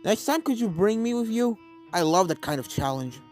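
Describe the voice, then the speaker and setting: A man voicing a brash, muscled unicorn stallion who is forceful and eager in a story reading. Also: high voice, animated, moderate pace, soft background music.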